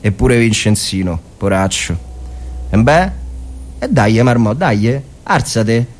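An actor playing a blunt, resigned corporal in a war story, offhand and colloquial. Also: male voice, mid-range voice, expressive, slow, voice pushed down. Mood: coaxing. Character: blunt, slangy southern Italian corporal, resigned.

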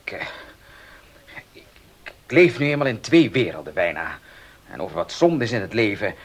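An actor playing a headstrong farm owner and husband in a Dutch radio drama. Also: male voice, on the low side, big pitch swings, moderate pace. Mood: defensive, placating.

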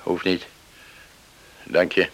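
A man playing a stubborn, embittered farmer father in a Dutch radio play, gruff, terse and halting. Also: slight background noise, low-quality recording. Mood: gruff, defiant.

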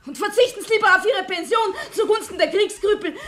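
A woman's voice: very high-pitched, fast talker. A woman playing an indignant, fearless wife in a radio play, scolding a bully in plain, defiant words. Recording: echoey room.

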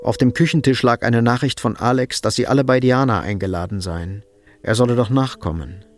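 An actor reading scripted literary narration as the sober, detached storyteller of a radio play. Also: male voice, medium pitch, fairly quick, soft background music, natural voice. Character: sober, detached storyteller of a Cold War thriller.

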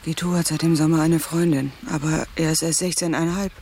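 A woman's voice: low-pitched, steady tone, fairly quick, a little mellow, slight background noise. An actress playing a worried mother of three in a radio drama, speaking in an earnest, pleading way. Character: worried, beautiful middle-aged woman, mother of three. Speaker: narrator's cousin.

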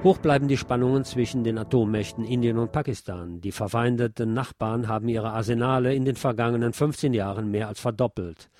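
A man's voice: medium pitch, a little fast, few pauses, a little mellow, soft background music. A man reading a scripted political commentary as a presenter.

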